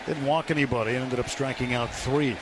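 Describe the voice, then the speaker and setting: A man doing live radio play-by-play baseball commentary in measured, short phrases with pauses, as the announcer. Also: medium pitch, steady tone, a crowd in the background. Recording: clear stadium noise behind. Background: outdoor ballpark ambience.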